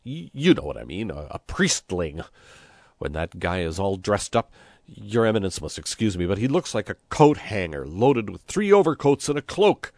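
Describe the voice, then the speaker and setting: A man voicing a hot-headed, blustering village mayor in a storybook, loud, exasperated and given to oratory. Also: on the low side, very animated, moderate pace, vocal fry, dry recording, full character voice. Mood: awkward, pleading.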